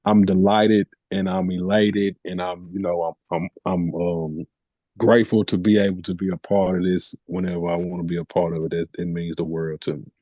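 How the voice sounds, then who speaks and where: Male podcast guest, lowish voice, dry close sound, casual slang, halting starts with repeated words. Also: little pitch movement, dark tone, dry recording. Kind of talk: casual chat.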